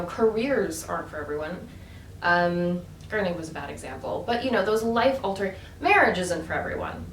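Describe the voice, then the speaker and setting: A woman vlogger talking about her own choices in a casual, emphatic way, with many fillers and rising bursts of emphasis. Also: medium pitch. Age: adult. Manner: animated, emphatic, lots of fillers. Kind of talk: casual opinion monologue. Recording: echoey room, mic at a distance, background noise.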